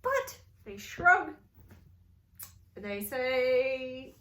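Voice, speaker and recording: High voiced lady doing kids storytime, super slow and bouncy, gets them flapping along. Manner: animated, playful, invites kids to join in. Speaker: children's librarian storyteller. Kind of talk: storytime read-aloud for kids.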